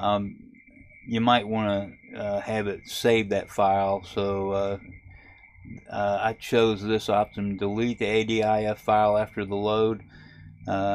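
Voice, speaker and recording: Low, flat-voiced man explaining software. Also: slight background noise. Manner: relaxed, halting explanation with many uh fillers. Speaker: ham radio video host. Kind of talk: casual screen-recorded tutorial. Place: camper.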